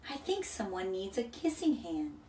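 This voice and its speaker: A woman voicing a gentle, motherly raccoon who soothes and reassures in a storybook reading. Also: medium pitch, sing-song, moderate pace, echoey room, recorded from a distance, slight background noise, close to an everyday voice. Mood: warm, inviting.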